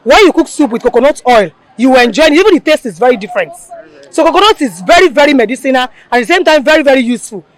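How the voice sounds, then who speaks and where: A woman's voice: sits high, animated, rapid-fire, rough recording. A woman from a women's forum, answering an interview firmly and with enthusiasm.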